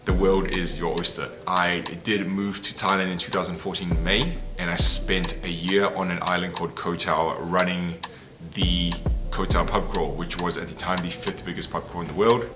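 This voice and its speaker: A man's voice: low-pitched, fairly even intonation, moderate pace, hardly any pauses. A man, telling his life story to camera in a candid, reflective, casual way. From New Zealand. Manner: reflective and candid, loose and conversational. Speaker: travel content creator. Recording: voice over loud background music. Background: music.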